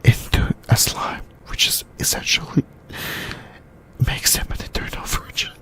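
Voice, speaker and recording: A host whispering an ASMR discussion in a breathy, unvoiced voice, speaking in halting short phrases and repeating words. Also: a bit bright. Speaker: host of an anime discussion channel.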